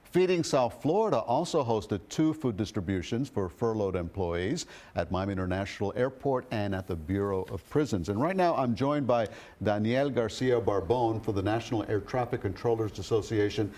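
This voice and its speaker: A man's voice: on the low side, animated, moderate pace, darkish tone. A man working as a TV news anchor, reading the news and interviewing in broadcast style.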